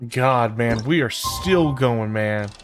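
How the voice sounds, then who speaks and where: A man's voice: medium pitch, takes it slowly, over music. A man livestreaming a game, chatting casually and effusively with slang to his viewers. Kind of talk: casual chat, reacting to game.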